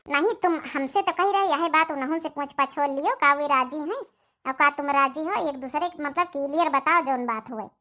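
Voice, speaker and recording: A blunt young village woman from a cartoon family drama , acted by a woman. Her voice is extremely high and bright with a forceful edge. She speaks in an Awadhi accent and presses her point insistently. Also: brisk, faint music behind. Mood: earnest, insistent. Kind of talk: cartoon comedy-drama dialogue in village dialect.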